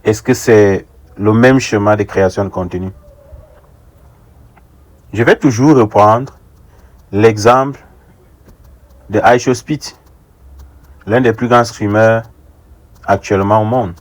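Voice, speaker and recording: A young man from Africa giving an earnest, deliberate opinion monologue, repeating phrases for rhetorical emphasis as an online commentator. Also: lowish voice. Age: young adult.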